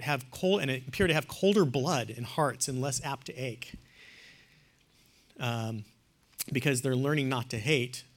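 A man speaks to a class with earnest emphasis. His voice sits at an ordinary middle pitch and swings between hushed and loud as he presses his points. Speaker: lecturer. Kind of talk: classroom lecture on theology and poetry.

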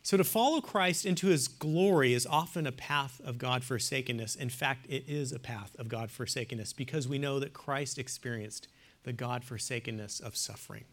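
A man speaks to a class with earnest emphasis. His voice sits at an ordinary middle pitch and swings between hushed and loud as he presses his points. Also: normal speed. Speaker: lecturer. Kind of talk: classroom lecture on theology and poetry.

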